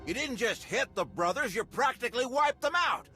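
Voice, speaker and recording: High bright excitable game peacekeeper, really animated, music under it. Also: male voice, moderate pace, full character voice. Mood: exasperated. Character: excitable, exasperated peacekeeper who runs droids. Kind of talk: video game voice acting. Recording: background music.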